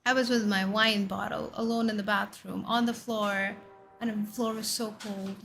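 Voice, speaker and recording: A woman from India with an Indian accent, a VTuber in her thirties chatting casually with her viewers and firing off questions and loud exclamations. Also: mid-range voice, little pitch movement, normal speed, gravelly. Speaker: VTuber streamer. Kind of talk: casual chat reading viewer messages. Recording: faint background music under voice.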